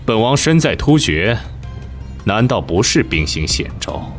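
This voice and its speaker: A man plays an imperious young king of an ancient realm in a Chinese costume radio drama. His voice sits very low and he speaks in curt, haughty commands. Faint music plays underneath. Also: big pitch swings, unhurried. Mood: irritated.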